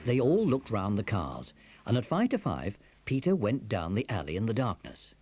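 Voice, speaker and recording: A man's voice: lowish voice, wildly swooping pitch, moderate pace, darkish tone, a little hiss or hum. A man narrating a children's adventure story from a script.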